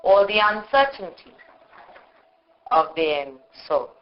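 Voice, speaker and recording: A woman leading a guided meditation in short, measured phrases with long pauses, on a live recording. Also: fairly low pitch, very animated, dull audio. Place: live group meditation session.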